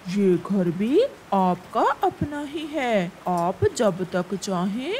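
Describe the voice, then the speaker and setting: A woman's voice: medium pitch, expressive, very slow, mellow, a little hiss or hum. A woman voicing a polite, remorseful male crow, a grieving father, in a cartoon moral story. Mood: polite, welcoming. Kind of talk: Hindi animated moral-story dubbing.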